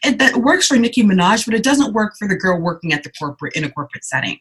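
Woman in her 40s from ghana, bright husky voice, chatty, lots of "you know". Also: medium pitch, quick pace. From Ghana, raised in Canada. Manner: animated, frequent "you know" and "right?". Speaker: entrepreneur guest. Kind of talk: casual interview.

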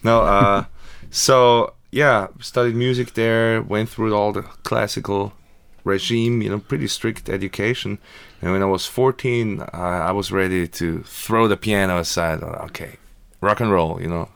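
A middle-aged man from Austria, a musician guest talking in a relaxed, friendly way in a radio studio. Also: fairly low pitch, measured pace, vocal fry, hardly any pauses, clear, resonant tone, no echo. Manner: relaxed, laughs easily, friendly. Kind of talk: casual interview.

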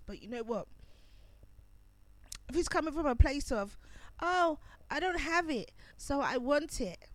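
A woman's voice: fairly high pitch, no room sound. A woman hosting a pre-recorded radio show, chatting casually about astrology while losing her voice and coughing. She rambles and corrects herself as she goes.

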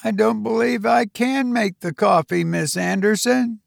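A man's voice: high-pitched, a little slow, vocal fry, no echo, clean studio sound, pitched above the performer's own voice, exaggerated voice. A man voicing a helpless, childish, self-pitying woman in a storybook reading, with a plaintive, naive manner. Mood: astonished, helpless.

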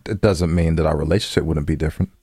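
A man's voice: extremely low pitch, steady tone, very fast, mellow, husky, no room sound, clean studio sound. A man co-hosting a casual advice show, talking in slang, bluntly, and repeating phrases for emphasis. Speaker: podcast co-host giving advice. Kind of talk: casual advice banter with co-host.

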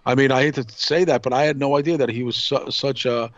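A man, co-hosting a podcast and telling stories casually in short, measured phrases. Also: mid-range voice, fairly even intonation, no room sound. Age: 50s. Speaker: podcast co-host. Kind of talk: casual reminiscing panel chat. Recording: dull lo-fi audio.

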